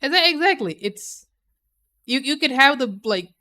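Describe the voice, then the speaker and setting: A woman's voice: sits high, lively intonation, normal speed, pushed and bright. Young woman streamer just chatting, jokey and opinionated, laughs a bunch. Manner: laughs often, playful, opinionated. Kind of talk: casual stream chat.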